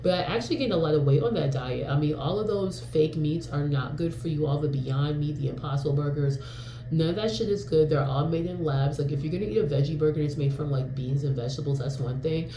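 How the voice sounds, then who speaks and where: A woman's voice: sits low, quick pace, dark tone, roomy sound, slight background noise, poor audio. A woman in her forties from New York, casually vlogging in a rambling, frank way with lots of fillers and the odd swear word. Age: 40s. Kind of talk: casual talking-to-camera vlog.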